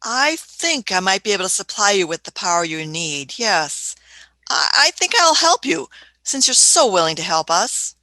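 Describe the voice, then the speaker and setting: A woman's voice: mid-range voice, very animated, normal speed, pushed and bright, no echo, poor audio. A woman in a radio play acting an eccentric, imperious older time traveller who is fussy, hesitant and repeats her phrases. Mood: brisk, businesslike.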